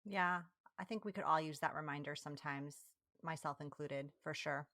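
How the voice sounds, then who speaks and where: A woman's voice: low voice, fairly bright tone, dry recording. A woman hosting a podcast, friendly and upbeat, whose first language was Italian. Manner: friendly, upbeat, quick to laugh. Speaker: podcast host. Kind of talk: casual interview hosting.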